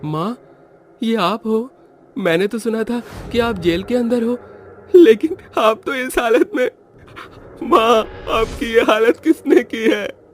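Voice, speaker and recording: A man's voice: very high-pitched, slow, a little hiss or hum. A man playing an earnest, brave young villager in a cartoon horror story, speaking with urgent drama. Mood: shocked, distressed. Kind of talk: Hindi cartoon horror voice acting. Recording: background music under the voice.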